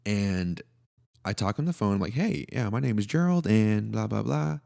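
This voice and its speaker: A man's voice: lively intonation, normal speed, vocal fry, warm tone. A man, co-hosting a podcast in a laid-back, joking, slangy way. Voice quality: deep voice. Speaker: podcast co-host. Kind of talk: casual chat.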